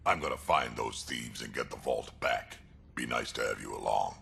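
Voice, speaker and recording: Gruff alien warrior, rumbling fry, blunt threats. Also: male voice, normal speed, bright, forceful tone, heavily acted. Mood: determined. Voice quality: deep gravelly voice. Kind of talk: video game dialogue.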